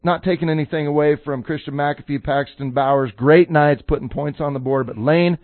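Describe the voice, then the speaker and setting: A man's voice: on the high side, fairly even intonation, brisk, no room sound. A man from Colorado co-hosting a local sports radio show, easygoing and reading out scores with enthusiasm. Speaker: radio co-host. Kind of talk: casual sports radio chat. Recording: dry, phone-like narrow sound.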